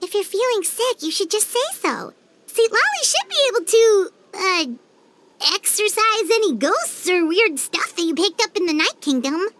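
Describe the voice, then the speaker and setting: A woman voicing a small, childlike fairy companion in a video game, chirpy, excitable and caring. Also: very high voice, normal speed, heavily acted. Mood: concerned. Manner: chirpy, refers to self in third person.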